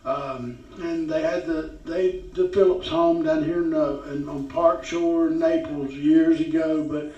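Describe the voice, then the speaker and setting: Southern male host, 50s, high voice, rambling folksy talk, heard from a distance. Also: fairly even intonation, measured pace, few pauses, a little mellow. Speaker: podcast host. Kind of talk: casual talk show chat. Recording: roomy, distant, dull sound.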